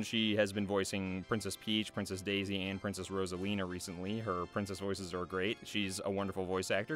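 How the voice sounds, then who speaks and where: A young man with a fairly low voice talks casually to his viewers. His delivery is earnest and a little hesitant, as if loosely following notes. Faint music plays behind him. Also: fairly bright tone. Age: young adult. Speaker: voice actor and video creator. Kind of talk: casual thank-you address to camera, loosely scripted. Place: small foam-treated recording booth. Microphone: large studio microphone close by.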